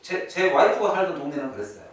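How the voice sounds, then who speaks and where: A man's voice: fairly high pitch, very animated, fast. A man teaching an English class in a chatty way, often checking in with his students. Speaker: English teacher at a private academy. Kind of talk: classroom lesson, explaining vocabulary and grammar. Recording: echoey room, distant mic, faint noise.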